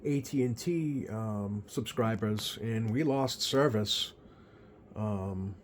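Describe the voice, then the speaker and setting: An American man giving a casual, unscripted product walkthrough as a hobbyist, speaking in a hesitant, halting way with drawn-out pauses. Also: fairly low pitch. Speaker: radio hobbyist presenting a video. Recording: clear steady background noise.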